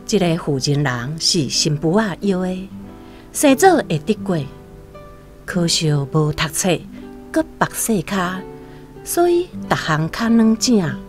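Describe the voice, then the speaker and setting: A woman with a Taiwanese accent narrating a literary radio drama in an expressive, dramatic storytelling manner. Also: on the low side, takes it slowly, faint music behind.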